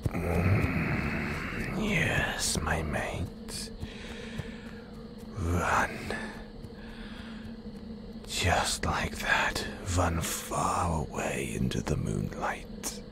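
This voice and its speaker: A man voicing a predatory, possessive werewolf in an intimate audio roleplay, in a breathy, seductive, menacing whisper. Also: a bass voice, drawn-out words, pauses often, exaggerated voice. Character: predatory, possessive male werewolf stalking his chosen mate.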